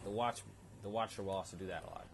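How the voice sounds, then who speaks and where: A man's voice: lowish voice, fairly even intonation, quick pace, background noise. A man giving casual, rambling and explanatory commentary as he plays through a video game. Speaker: video game let's-player. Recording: loud game music behind the voice. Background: loud video game music.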